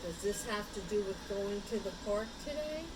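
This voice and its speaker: A woman voicing a kind male dog owner in a children's storybook, gently coaxing and reassuring his pet. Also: mid-range voice, animated, normal speed, noisy background, lightly acted. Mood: encouraging.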